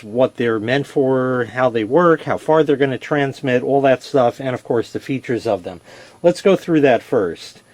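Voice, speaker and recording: A man's voice: medium pitch, darkish tone. A man presenting a casual product demonstration, explaining things step by step in a plain-spoken, conversational way.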